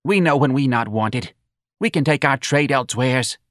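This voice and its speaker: A man's voice: mid-range voice, moderate pace, a bit bright, dry recording, clean studio sound, pitched above the performer's own voice, lightly acted. A man voicing a plain-spoken older working-class mother in a colloquial, plaintive manner for an audiobook. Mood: huffy.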